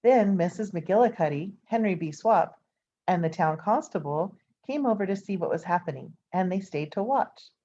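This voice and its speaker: Low, mellow woman reading a children's story. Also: normal speed. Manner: expressive storyteller with informal asides to listeners. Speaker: storybook reader. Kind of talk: reading a children's picture book aloud. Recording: dull, lo-fi sound.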